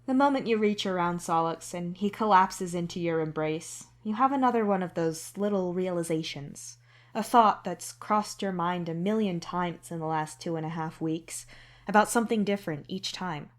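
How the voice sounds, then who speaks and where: Female story narrator for fiction podcast, lowish register, scripted second-person read. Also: normal speed. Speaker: podfic narrator and author.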